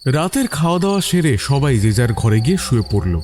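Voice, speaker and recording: A male narrator with a mellow, medium-pitched voice and very expressive intonation tells a suspense story. He phrases deliberately, pausing for drama, over faint ambient noise.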